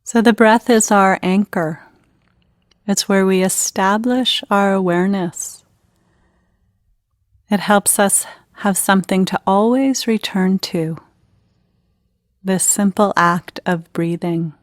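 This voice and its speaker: Slow-paced woman guiding a meditation. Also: medium pitch, no echo, clean studio sound. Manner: unhurried, instructional, gentle phrasing with pauses. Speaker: meditation guide. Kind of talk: guided meditation.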